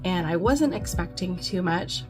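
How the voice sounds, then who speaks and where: A woman's voice: on the low side, expressive, a little slow. A young woman vlogging casually and upbeat about her craft sales, with lots of ums. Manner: chatty, upbeat, many ums. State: losing her voice from a cold. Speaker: crochet vlogger. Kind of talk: casual vlog chat. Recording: clear background music.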